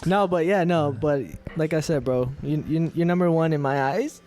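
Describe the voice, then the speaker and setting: A man's voice: fairly high pitch, normal speed, a little mellow, faint music behind. Friendly young man hosting a podcast. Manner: friendly, joking, teasing. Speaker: podcast host. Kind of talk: casual podcast chat.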